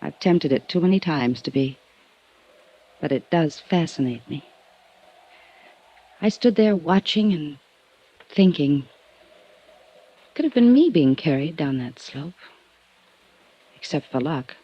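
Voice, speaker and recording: A woman's voice: low-pitched, lively intonation, slow pace, pauses often, heavily acted. An aloof rich daredevil girl. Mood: cool. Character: young woman, rich daredevil, cool and aloof. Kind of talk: 1950s radio drama acting. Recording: dull old radio recording.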